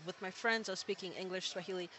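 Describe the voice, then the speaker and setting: A young man from Kenya chatting as an interview guest, relaxed and talkative, with plenty of "like" fillers. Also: sits high, fast, a little hiss or hum. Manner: relaxed, chatty, many "like" fillers, laughs easily. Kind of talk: casual interview conversation.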